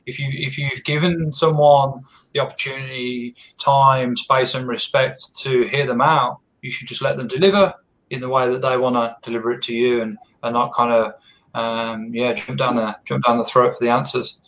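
Relaxed man hosting a podcast. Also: mid-range voice, moderate pace, rough recording. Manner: relaxed, repeats words while searching for phrasing. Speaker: podcast host. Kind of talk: casual interview chat.

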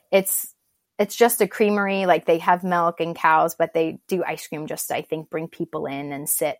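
Gravelly low-voiced woman from Turkey. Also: no room sound, clean studio sound. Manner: reflective and encouraging. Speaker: guest. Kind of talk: casual interview talk.

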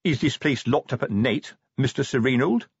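A man voicing a self-important, slow-witted middle-aged police sergeant in an audiobook, with a pompous, explaining manner. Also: medium pitch, slightly rough, no echo, dull audio, pitched above the performer's own voice. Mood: patronising. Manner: pompous, explanatory, tag questions.

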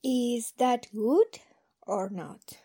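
A woman playing a gentle, questioning, concerned member of a hero team in an audio drama. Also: a little high, very slow, slightly rough, full character voice. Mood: worried.

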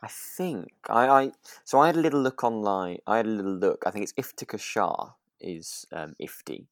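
A British man co-hosting a podcast in relaxed, casual chat, speaking tentatively and hedging as he goes. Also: fairly low pitch, normal speed, dry recording, clean studio sound. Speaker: podcast co-host.

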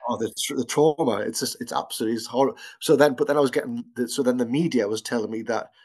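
A man's voice: medium pitch, fast, darkish tone. Hesitant podcast host, man in his fifties. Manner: friendly, hesitant questioning with fillers. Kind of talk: casual interview chat.

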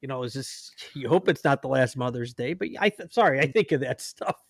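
A man's voice: mid-range voice, animated, fairly quick, vocal fry, no room sound, studio quality. A man co-hosting a podcast, earnest and reflective, laughing easily in casual chat over a remote call. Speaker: podcast co-host.

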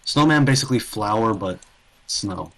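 A man with a lowish voice chats casually about video games as a co-host. He keeps it informal and trades banter as he goes. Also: expressive, normal speed, vocal fry, a bit bright. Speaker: podcast co-host.

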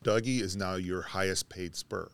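A man's voice: sits low, steady tone, normal speed, vocal fry, fairly bright tone, clean tone, dry recording. A man co-hosting a sports podcast, talking through basketball in a casual, conversational way.

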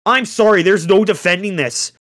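A young man on a casual sports chat, ranting in an exasperated way with rhetorical questions, his voice going hoarse. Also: high voice, moderate pace, fairly bright tone. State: getting hoarse. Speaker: podcast co-host, hockey fan.

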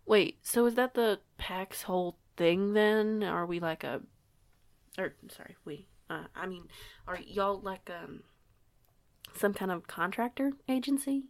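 Woman acting an awkward, eager young werewolf, creaky-voiced. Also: medium pitch, measured pace, close to an everyday voice. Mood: hesitant, curious. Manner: hesitant, rambling, self-conscious, informal. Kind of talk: audio roleplay acting, casual dialogue.

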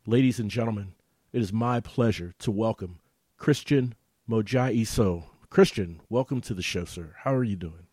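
A man's voice: on the low side, moderate pace, darkish tone, breathy edge, dry recording, studio quality. A man hosting a podcast, opening the show and welcoming his guest with emphatic delivery. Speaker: podcast host.

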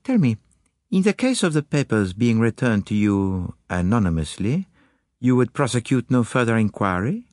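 A man's voice: mid-range voice, big pitch swings, normal speed, darkish tone, no room sound, studio quality, voice pushed up, natural voice. A man voicing a courtly, confident Belgian detective with a French-speaking accent and formal, precise phrasing, in an audiobook story. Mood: confident, persuasive. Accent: French-speaking Belgian, put on.

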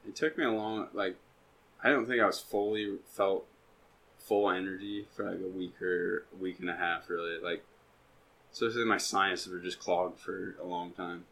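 A young man on a casual podcast, talking in a relaxed, meandering way with a touch of self-deprecation. His voice sits a little low and easy. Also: normal speed, slight background noise. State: recovering from being sick. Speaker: podcast co-host. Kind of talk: casual chat.

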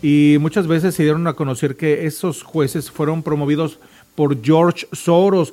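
A man's voice: a little high, a little mellow. A man hosting a morning radio show, reading news reports and commenting in a colloquial way, speaking straight to listeners. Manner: colloquial, addresses listeners directly.

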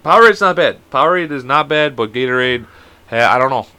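Man co-hosting from home: bright, animated delivery, foul-mouthed, joking. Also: medium pitch, a little fast, smooth, no echo. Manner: crude, joking, swears a lot. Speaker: podcast co-host. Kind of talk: casual chat.

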